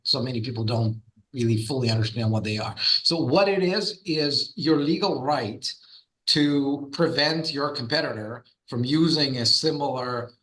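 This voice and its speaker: A man's voice: mid-range voice, normal speed, a bit bright, poor audio. A middle-aged man with a Russian accent, a guest on an interview podcast, talking at length with many fillers and restarts. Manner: storytelling, frequent fillers and restarts. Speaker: guest, trademark firm founder.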